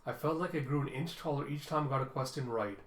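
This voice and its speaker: A man voicing a proud, excitable young secret policeman and tutor from a cartoon, condescending and theatrical in manner. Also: mid-range voice, fast, lightly acted. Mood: earnest, lecturing. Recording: roomy, echoing room sound.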